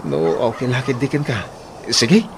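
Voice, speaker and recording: A man's voice: mid-range voice, sing-song, normal speed, natural voice. A man with an Ilocano Filipino accent playing an evasive, penniless young boyfriend, stammering and apologetic, in a comic radio drama. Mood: flustered. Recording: noisy radio drama recording.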